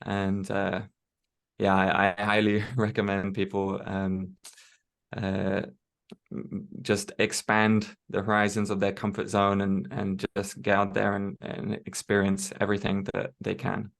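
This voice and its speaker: A man speaking as a guest in a casual interview, measured and reflective, with frequent fillers and restarts. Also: fairly low pitch, completely flat delivery, vocal fry. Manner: hesitant, many fillers and restarts. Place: home in Tokyo.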